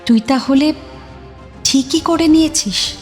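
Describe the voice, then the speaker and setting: A woman's voice: high voice, slow, soft background music. An actress playing a mysterious young woman who speaks intimately and persuasively in an audio drama. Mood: probing. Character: mysterious young woman, embodiment of a hidden will to live.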